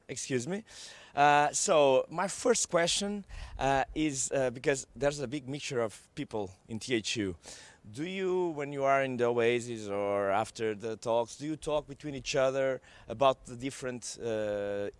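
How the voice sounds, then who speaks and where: A man's voice: medium pitch, no room sound. A man interviewing a group of artists at an event, chatty and hesitant, with many fillers and restarts. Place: event venue.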